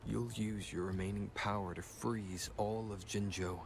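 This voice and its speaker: A man acting a calm, resolute young wanderer hero in a video game. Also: fairly low pitch, measured pace, gravelly. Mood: grave, deliberate. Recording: background music under dialogue.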